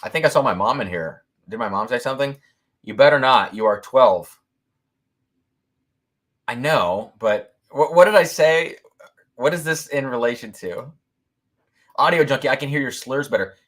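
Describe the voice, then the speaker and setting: A man hosting a whiskey-tasting livestream, talking loudly and excitedly, laughing often and reading viewer comments aloud into a boom mic. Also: moderate pace, pauses often. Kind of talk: casual live-stream chat. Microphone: boom mic moved back from mouth.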